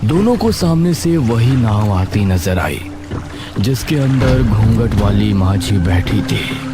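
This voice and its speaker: A man's voice: on the low side, animated, slow. A man narrating a scripted horror story in a dramatic storytelling style. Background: music.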